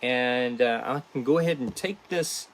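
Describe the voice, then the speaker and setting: A man hosting a casual behind-the-scenes stream as a podcast creator, chatting to viewers in a halting, rambling way. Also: mid-range voice, slight background noise, rough recording. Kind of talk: casual livestream chat to viewers.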